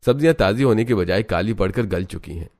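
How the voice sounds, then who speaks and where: A man's voice: fairly low pitch, expressive, quick pace, darkish tone. A man narrating a scripted cartoon family story as the storyteller.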